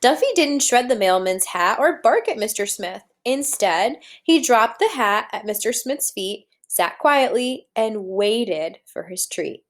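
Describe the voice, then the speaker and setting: A woman's voice: fairly high pitch, animated, unhurried, few pauses. A woman teacher reading a storybook aloud to young children, talking to them directly and posing questions as she guides them along. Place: indoors at a computer.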